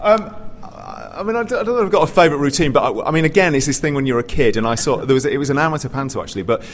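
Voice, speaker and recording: A man on a live panel telling anecdotes casually, often halting and interrupting himself. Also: medium pitch, huge pitch swings. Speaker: panellist, pantomime performer. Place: theatre, live panel discussion. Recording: live recording, clear background noise.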